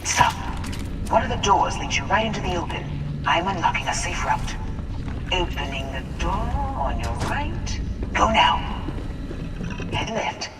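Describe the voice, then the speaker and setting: A resourceful video game woman guiding her allies through danger. Her voice has a lively range and comes over a radio link, distant and echoing, with clear noise. She stays composed and gives short, clipped directions. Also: fairly low pitch, measured pace, long pauses. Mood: focused, instructive.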